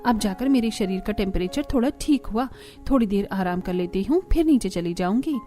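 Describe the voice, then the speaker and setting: A woman's voice: medium pitch, expressive, brisk, warm tone, faint music behind, lightly acted. A woman voicing a shy young newlywed bride who talks to herself in a Hindi cartoon story. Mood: relieved, content. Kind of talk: Hindi animated family story acting.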